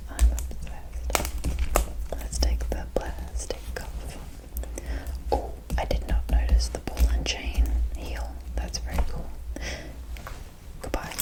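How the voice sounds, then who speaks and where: A woman whispering as an ASMR host, rambling softly in short admiring remarks during a show-and-tell. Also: rough recording.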